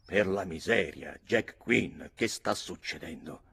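A man voicing an easygoing country gentleman in a story, good-natured and cajoling. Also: deep voice, slow, breathy edge, exaggerated voice. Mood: bemused.

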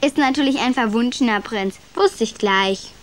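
A woman's voice: fairly high pitch, moderate pace, a little hiss or hum, close to an everyday voice. A woman playing a curious, self-assured young listener in a children's radio drama, inquisitive and matter-of-fact. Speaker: listener interrupting the storyteller.

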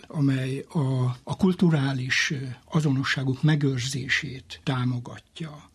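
Husky, creaky-voiced man. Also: mid-range voice. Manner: deliberate, formal, academic phrasing. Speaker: history expert guest. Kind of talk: explanatory history interview.

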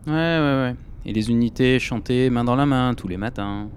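An actor playing a cynical, restless young conscript in an audio drama, slangy and scornful. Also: male voice, medium pitch, moderate pace, slight background noise, close to an everyday voice. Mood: sarcastic, dismissive.

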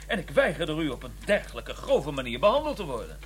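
Donnish, formal academic, animated pitch. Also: male voice, fast talker, a little hiss or hum. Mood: affronted, insistent. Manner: polite, formal, hesitant but insistent. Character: courteous, persistent Cambridge academic, donnish and easily affronted. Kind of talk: radio drama acting with first-person narration.

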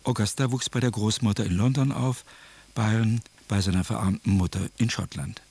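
A man narrating a scripted documentary radio drama in a measured, matter-of-fact way. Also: fairly low pitch, steady tone, darkish tone, slightly rough.

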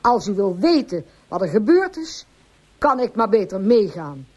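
A woman's voice: mid-range voice, big pitch swings, normal speed, a little mellow. An actress playing a distraught farmer's wife, telling her account earnestly and emotionally in a radio drama. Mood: earnest, explaining. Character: distraught, pleading farmer's wife confessing all.

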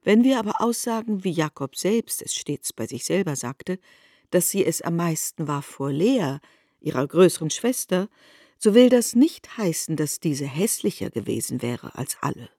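A woman narrating a literary radio play in an expressive storytelling manner. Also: lowish voice, moderate pace, no room sound, clean studio sound.

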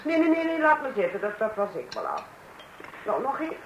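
An actress playing an expressive, chatty married woman in a domestic radio comedy, full of small exclamations. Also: a little high, brisk, echoey room. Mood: weary, resigned. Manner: exclaiming, animated. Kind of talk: radio play acting. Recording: noisy old radio play recording.

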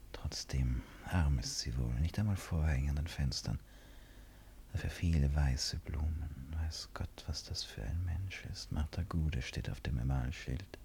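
A man's voice: rumbling low, vocal fry, warm tone, slight background noise, heavily acted. An actor playing a brooding, ironic, impulsive man who muses theatrically to himself in a radio play. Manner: musing, self-questioning, theatrical. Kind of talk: radio play acting, interior monologue. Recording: studio radio play with faint music.

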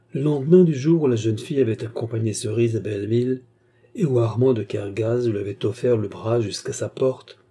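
A man narrating a literary novel as an audiobook, reading in measured phrases. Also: mid-range voice, warm tone, husky.

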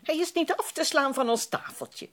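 A woman's voice: sits high, normal speed, fairly bright tone. A woman acting a worried, proper middle-aged society mother in a period radio drama, with a genteel, fretful, emphatic manner. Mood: exasperated.

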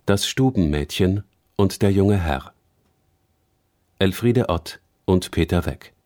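A man's voice: low-pitched, expressive, slow pace, darkish tone. A man reading a scripted announcement as an announcer.

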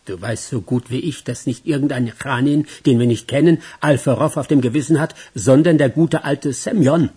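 A man's voice: medium pitch, moderate pace, hardly any pauses, mellow, breathy edge. A man playing a wary, businesslike criminal in a radio crime drama, terse and insistent. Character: wary, businesslike male criminal urging his partner on. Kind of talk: German radio play acting.

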